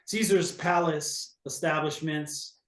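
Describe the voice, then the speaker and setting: A man's voice: fairly high pitch, fairly even intonation, takes it slowly, fairly bright tone, reverberant. A man from Hawaii, a real estate agent, talking casually as an interview guest, with many fillers and restarts.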